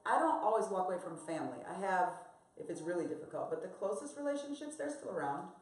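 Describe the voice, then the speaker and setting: An American woman with a somewhat low voice speaks candidly and reflectively as a panel guest. She talks from her own experience and comes back to a personal mantra. The sound is very echoey, as if recorded in a big live room. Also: sing-song, fast talker. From United States.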